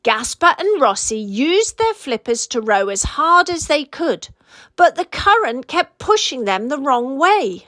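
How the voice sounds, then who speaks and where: A woman reads a children's story in a fairly high voice with very lively intonation. She takes her time, as a storyteller does for young listeners. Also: a little slow, dry recording, clean studio sound. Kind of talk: scripted children's story narration.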